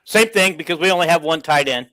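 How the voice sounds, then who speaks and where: A man's voice: a little high, fast talker, sharp tone, no room sound. An American man co-hosting a casual sports chat. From Iowa, United States. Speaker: sports show co-host.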